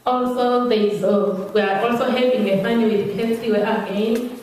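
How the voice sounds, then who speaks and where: A woman's voice: on the high side, steady tone, normal speed, a little mellow, faint music behind. A middle-aged woman with a Swazi accent, a teacher giving a presentation, searching for her words as she speaks. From Eswatini (Swaziland). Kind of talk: live slide presentation to an audience. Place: large echoey room, speaking to an audience. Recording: echoey hall from far off, background noise.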